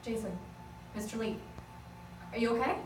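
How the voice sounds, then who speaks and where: A woman's voice: fairly high pitch, moderate pace, loud music behind the voice, natural voice. A woman playing a wry, needling, level-headed young office assistant in a comedic script read. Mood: concerned. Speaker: actor at a script table read. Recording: echoey room, distant mic, noisy.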